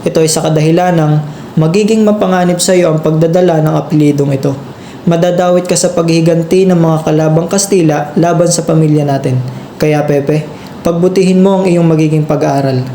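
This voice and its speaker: A protective young elder brother played by a man in an amateur radio drama. The voice is fairly high, mellow and earnest, recorded in a roomy space. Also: steady tone, brisk, lightly acted. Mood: serious. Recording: roomy sound with faint noise.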